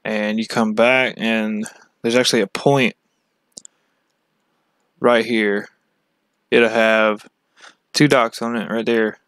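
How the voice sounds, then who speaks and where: A man from the United States giving a casual, halting, matter-of-fact walkthrough as a fishing channel host. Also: on the low side, flat delivery, a bit bright, clear, resonant tone, no echo. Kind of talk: casual screen-share map walkthrough.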